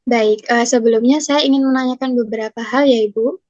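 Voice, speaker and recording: A woman's voice: a little high, steady tone, a little fast, a little mellow, no echo. Polite young woman, nutrition counselor. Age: young adult. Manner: polite, formal, asks questions step by step. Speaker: nutrition counselor in a class role-play. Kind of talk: scripted role-play consultation. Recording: dry, muffled lo-fi recording.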